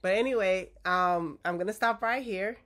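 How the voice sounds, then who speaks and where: A woman's voice: medium pitch, normal speed, a bit bright. A woman in her forties, stuffy from a cold, giving direct, coaching relationship advice to her viewers and checking in with a frequent "okay?". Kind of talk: casual advice talk to viewers.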